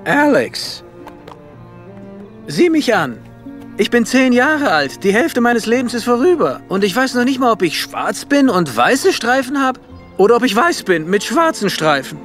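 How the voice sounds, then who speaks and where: An actor playing an excitable, dreamy, cheeky zebra in an animated film radio play, chatty and exclamatory. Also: male voice, very high-pitched, a little slow, faint music behind, heavily acted. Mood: downcast.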